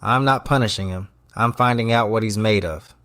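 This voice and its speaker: A man's voice: fairly low pitch, moderate pace, fairly bright tone, no room sound. A man playing a commanding restaurant boss in an audio drama, with a clipped, dryly funny delivery. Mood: dry, wry. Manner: commanding, clipped, dry humour. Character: brisk, wry male restaurant boss running a tight ship.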